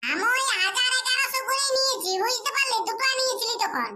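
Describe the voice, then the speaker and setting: A performer voicing a bossy, childlike cartoon villager friend with a squeaky voice and a Purulia Bengali accent. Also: fast talker, background noise. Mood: knowing. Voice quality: very high, squeaky. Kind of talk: comedy cartoon dialogue.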